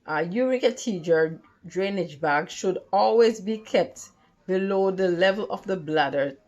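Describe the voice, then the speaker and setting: Female tutor for a read-along lesson: lowish voice, unhurried, careful and a bit halting. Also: expressive, a little mellow, muffled recording. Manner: halting, careful reading with stumbles and repeats. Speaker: tutor reading study material aloud.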